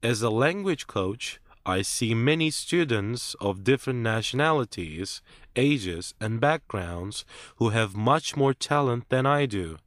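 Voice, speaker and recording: A man reading a scripted listening-test script in a measured, clear way, as narrator and interviewee. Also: medium pitch, lively intonation, a bit bright, no room sound, clean studio sound.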